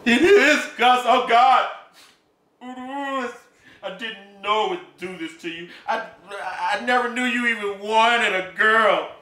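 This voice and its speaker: A man puts on a frantic middle-aged mother in a storybook, her voice pitched high and cracking as she pleads. The tone is bright and sharp, and the room around the reading rings with echo and a faint hiss. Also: brisk. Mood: pleading. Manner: high, pleading, breaking with distress.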